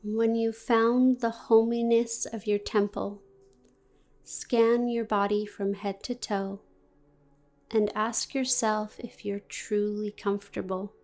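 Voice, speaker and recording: A woman guiding a meditation in a gentle, deliberate, soothing manner with long pauses. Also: medium pitch, level tone. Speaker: yoga nidra meditation guide. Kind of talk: guided meditation, scripted narration.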